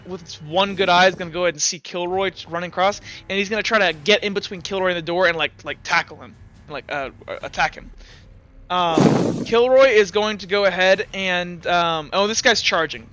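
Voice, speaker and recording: Man running a tabletop game: higher, bright voice, works through the rules out loud and corrects himself. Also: brisk, clean tone. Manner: talks through rules and dice aloud, often correcting himself. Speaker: game master. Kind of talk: narrating and refereeing a tabletop game.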